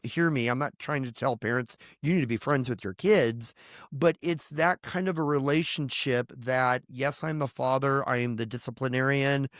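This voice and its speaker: A man's voice: medium pitch, no room sound, studio quality. Earnest middle-aged man pastor. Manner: earnest, emphatic, grows heated and indignant. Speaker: pastor, podcast guest. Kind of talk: candid testimony in conversation.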